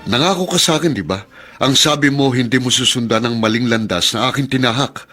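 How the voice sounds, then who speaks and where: A young Filipino big brother played by a man in an audio melodrama, with music behind him. His medium-pitched voice is protective and disappointed, questioning with concern. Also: brisk, pushed and bright, natural voice. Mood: disappointed reproach. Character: disappointed, protective older brother with a wayward past.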